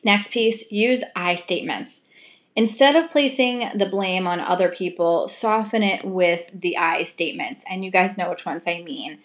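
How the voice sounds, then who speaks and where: A woman giving relationship advice to her audience in an earnest, gentle coaching way, now and then acting out sweet example lines to a partner. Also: on the low side, measured pace. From grew up in a Greek family.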